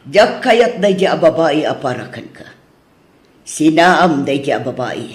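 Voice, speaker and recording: A woman's voice: low voice, lively intonation, unhurried. A woman acting as a stern, blunt, disapproving older mother in a radio drama, with an Ilocano accent. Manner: blunt, drawling. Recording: echoey room sound.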